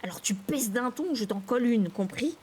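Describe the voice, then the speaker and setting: A woman's voice: mid-range voice, big pitch swings, normal speed, a bit bright. A woman voicing a hot-tempered, indignant boy from a storybook, blustering at his sister. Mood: angry bluster. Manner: indignant, blustering, detaching syllables when angry.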